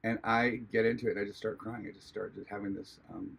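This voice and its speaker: Husky-voiced man telling a personal story. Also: lowish voice, fairly even intonation, a bit bright. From Hawaii. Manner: halting, many restarts and fillers; earnest, reflective. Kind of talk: personal testimony, casual storytelling.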